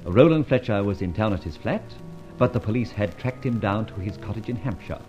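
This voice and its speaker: An actor playing a debonair, breezy gentleman adventurer in an old radio serial, with brisk, clipped, theatrical speech. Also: lowish voice, natural voice. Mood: wry, self-satisfied. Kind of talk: old radio serial acting and first-person narration. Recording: dull vintage radio recording, music behind.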